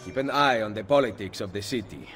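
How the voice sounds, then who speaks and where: A man's voice: on the low side, fast, fairly bright tone, soft background music, lightly acted. An actor playing a composed, commanding male assassin in a video game cutscene. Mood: calm, firm.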